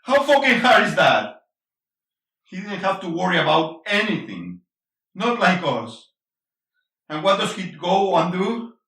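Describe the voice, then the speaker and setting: Echoey, forceful angry husband acted by a man. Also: sits high, very animated, a little slow, long pauses, exaggerated voice. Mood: angry, bitter. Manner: confrontational, emphatic, swearing, switches between English and Spanish. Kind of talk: intense stage-play reading. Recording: echoey room, distant mic.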